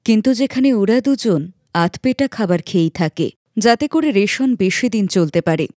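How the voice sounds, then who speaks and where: A woman's voice: medium pitch, lively intonation, normal speed, a little mellow, dry recording, clean studio sound. A woman reading a scripted children's moral story as its narrator.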